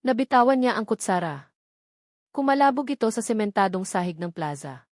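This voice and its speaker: A woman's voice: mid-range voice, big pitch swings, brisk, no echo, studio quality. A woman reading a scripted romance story as a dramatic narrator.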